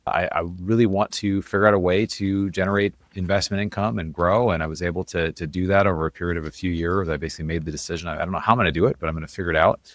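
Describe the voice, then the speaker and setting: A man with a very deep voice talks as an interview guest. His delivery is quick and casual, like relaxed storytelling in conversation. The sound is dry and close, with no room echo. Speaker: interview guest, investor and author.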